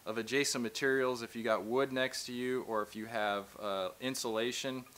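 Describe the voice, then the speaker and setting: A man's voice: medium pitch, steady tone, moderate pace, a bit bright. A man in his thirties giving a casual hands-on how-to demonstration in a large indoor workshop, explaining plainly as he works, recorded on a close camera mic. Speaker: tradesman instructor.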